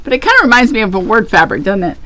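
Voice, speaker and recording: A woman's voice: medium pitch, sing-song, racing, pushed and bright, a little hiss or hum, low-quality recording. A woman co-hosting a casual fabric show, chatting about prints, colors and prices and laughing easily. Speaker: fabric shop co-host. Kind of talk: casual live product showcase.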